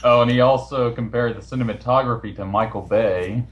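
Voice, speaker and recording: A man's voice: mid-range voice, fairly even intonation, a little fast, muffled recording, heavy background noise. A man hosting a casual online voice chat, talking in an informal, matter-of-fact way. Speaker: host of the recorded chat. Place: online voice call.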